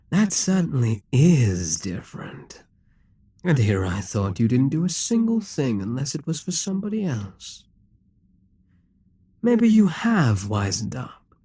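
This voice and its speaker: A man's voice: medium pitch, dark tone, husky, dry recording, exaggerated voice. A man voicing a possessive, scheming male demon king in an audio roleplay, teasing and condescending.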